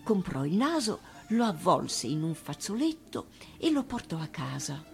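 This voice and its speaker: A woman's voice: on the low side, very animated, a little slow, vocal fry. A woman reading a children's tale expressively as an actress, with music behind her. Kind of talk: expressive storybook narration. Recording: radio reading over music.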